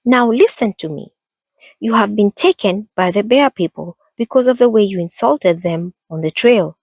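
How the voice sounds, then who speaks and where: A woman voicing a tiny, bossy mouse spirit with a high, squeaky voice in a storybook reading. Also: moderate pace, darkish tone, dry recording, lightly acted. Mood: scolding, instructing. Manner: scolding, instructing.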